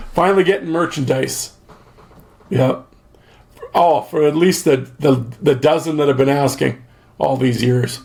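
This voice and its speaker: A man with a gravelly, mid-pitched voice talks on his own podcast, loose and rambling, with plenty of fillers and dry jokes. His voice is going, so he keeps clearing his throat between thoughts. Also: a little mellow. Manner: rambling, chatty, joking, lots of fillers. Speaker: podcast host. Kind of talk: casual solo podcast chat.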